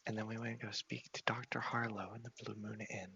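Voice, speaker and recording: A man with a low, crackly voice softly narrates a video game in ASMR style, often half-whispering. The sound is a little muffled, with music faintly underneath. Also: flat delivery, fairly quick, gravelly, husky. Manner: soft-spoken, half-whispered. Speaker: host of a gaming series.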